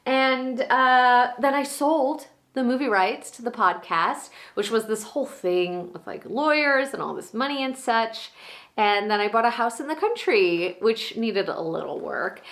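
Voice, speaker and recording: A woman performing a comedic stage monologue as a bubbly, proud woman gushing excitedly about her achievements. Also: fairly high pitch, a little slow, voice pushed up. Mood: gushing excitement. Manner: breathlessly excited, self-delighted, gushing.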